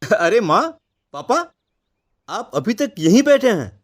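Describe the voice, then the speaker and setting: A man playing a headstrong seventeen-year-old son in an animated horror story, exasperated and insistent. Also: high voice, slow, exaggerated voice. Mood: earnest.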